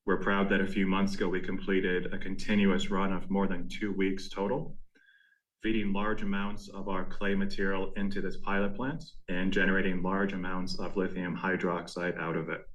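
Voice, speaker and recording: A man speaking as a company executive, delivering a prepared earnings presentation in a measured, formal way. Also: low voice, level tone, poor audio. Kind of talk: prepared corporate presentation, scripted narration.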